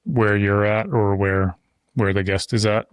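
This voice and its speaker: A man's voice: fairly low pitch, fairly even intonation, a little slow, clean tone, no room sound. A man hosting a podcast in a casual conversation, talking at length with loose asides on a close studio mic. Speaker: podcast host. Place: insulated shop-building studio.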